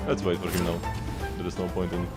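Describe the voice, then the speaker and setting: A man's voice: deep voice, level tone, fast. A man giving casual live gameplay commentary as a streamer, rambling and thinking aloud. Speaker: game livestreamer. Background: game music.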